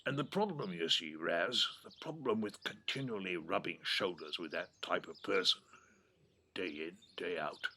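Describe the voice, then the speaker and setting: An actor playing a wry, wistful old English grandfather in an audio drama, rambling affectionately in a gravelly, husky voice. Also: on the low side, pushed and bright, full character voice. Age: 70s or older. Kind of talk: naturalistic audio drama acting. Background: birdsong.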